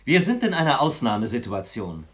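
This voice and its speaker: A man's voice: mid-range voice, big pitch swings, a little fast, close to an everyday voice. A man playing a hard-line cabinet minister in a 1960s German radio drama, speaking firmly and formally. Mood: determined.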